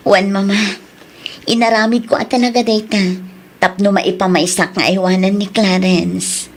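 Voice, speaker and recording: A woman's voice: mid-range voice, steady tone, moderate pace, natural voice. An actress with an Ilocano accent playing a devoted, grateful young wife and mother in a radio drama, in everyday dramatic speech. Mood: happy, grateful.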